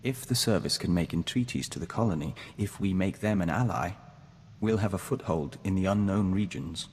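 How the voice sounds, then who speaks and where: A calm male diplomat bonded to a hive, with a low voice and measured, formal delivery. He sounds earnest, like scripted game dialogue, in a long reverb with some background noise.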